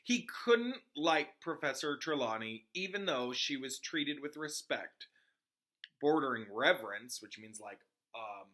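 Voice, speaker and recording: A man with a bright, crisp-edged tone at a middling pitch reads a children's novel aloud like a classroom teacher. His delivery is informal, moving between narration and casual explanations for his listeners. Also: animated, measured pace. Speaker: teacher reading aloud to students.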